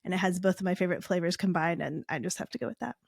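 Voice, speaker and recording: A woman's voice: on the low side, racing, gravelly, breathy edge, dry recording, studio quality. A woman, a guest author, chatting casually in an interview.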